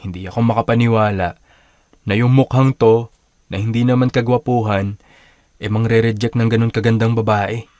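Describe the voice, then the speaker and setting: An actor as a low-voiced, confident young Filipino suitor. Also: male voice, fairly even intonation, moderate pace, a little mellow, no echo, clean studio sound, natural voice. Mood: boastful, eager. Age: young adult. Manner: casual, switches between Tagalog and English. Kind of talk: radio drama dialogue in Taglish.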